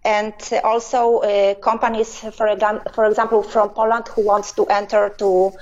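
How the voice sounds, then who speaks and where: A middle-aged woman answering interview questions over a phone line, speaking haltingly with many pauses and filler sounds. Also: medium pitch, flat delivery, darkish tone. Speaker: interview guest, business owner. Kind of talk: hesitant conversational answers.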